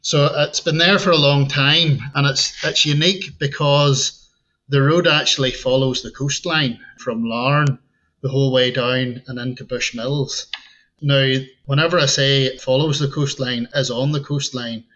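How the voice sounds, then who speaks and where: A man's voice: mid-range voice, fairly even intonation, unhurried, a bit bright, highs cut off. A man from Northern Ireland with an Ulster Scots tinge to his accent, chatting easily as a podcast guest. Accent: Northern Irish, Ulster Scots tinge. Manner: easygoing, chuckles often. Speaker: podcast guest, tour guide. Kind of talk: casual interview chat.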